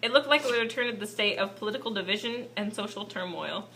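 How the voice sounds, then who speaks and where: A woman's voice: mid-range voice, fast talker, sharp tone, poor audio. A woman playing a patient puppet history teacher, delivering a scripted lesson to an unruly class in an amateur show. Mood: soothing, narrating. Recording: faint background noise, amateur recording.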